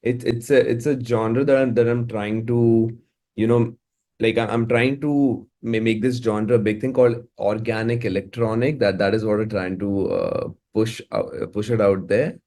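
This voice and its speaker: A man talking casually as an interview guest, halting and repeating words as he thinks aloud. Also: lowish voice, steady tone, dark tone. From India. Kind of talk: casual, reflective interview talk.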